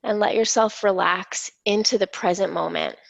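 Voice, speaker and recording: A woman leading a guided imagery meditation in a soothing, unhurried, reassuring manner. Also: mid-range voice, vocal fry, no echo. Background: gentle instrumental music.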